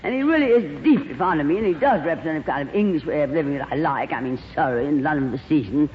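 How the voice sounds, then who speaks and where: An actress playing a glamorous, worldly, gossipy stage actress in a 1940s radio comedy, theatrical and full of endearments. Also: female voice, low voice, lively intonation, fast. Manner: theatrical, drawls endearments like darling. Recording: old radio broadcast, reverberant, dull, faint hiss.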